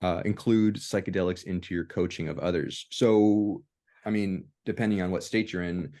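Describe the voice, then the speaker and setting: A man hosting a casual discussion, speaking in hesitant, halting phrases with many pauses. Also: deep voice, steady tone, mellow. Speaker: discussion host.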